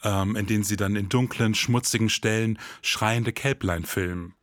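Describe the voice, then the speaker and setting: A man's voice: fairly low pitch, moderate pace, fairly bright tone, dry recording, clean studio sound. A man co-hosting an investigative podcast, moving between scripted dialogue and narration.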